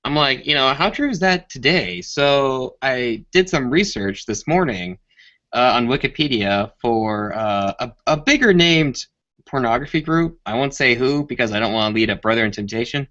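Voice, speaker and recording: Guy just chatting on a group call, sharp bright voice, kinda muffled lo-fi audio. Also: mid-range voice, animated, normal speed. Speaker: participant in an online hangout. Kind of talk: casual group chat. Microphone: online voice call. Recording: dull lo-fi internet call audio.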